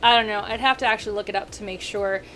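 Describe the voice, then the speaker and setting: A woman in her thirties, a video critic ranting casually to camera, rambling and animated with frequent self-corrections and asides. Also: mid-range voice, fast, a little hiss or hum. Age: 30s.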